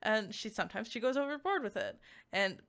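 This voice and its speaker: A woman giving a casual, conversational review to viewers, animated and peppered with "like" asides. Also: on the high side, brisk. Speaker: visual novel reviewer.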